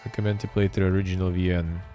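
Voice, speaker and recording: Low-voiced man muttering stream commentary. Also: little pitch movement, fast talker, mellow, faint music behind. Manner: offhand, muttering, reads text aloud with wry asides. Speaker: streamer and show host. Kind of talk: casual live-stream commentary.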